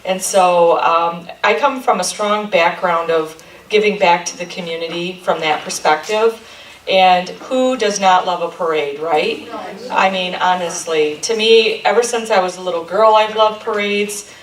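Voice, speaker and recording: A woman's voice: lowish voice, measured pace, recorded from a distance. Chatty woman in an echoey room. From Michigan. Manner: friendly, rambling, many ums and restarts. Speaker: chamber of commerce president giving a talk. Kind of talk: informal presentation to a local group. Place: meeting room. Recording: echoey room recorded from afar.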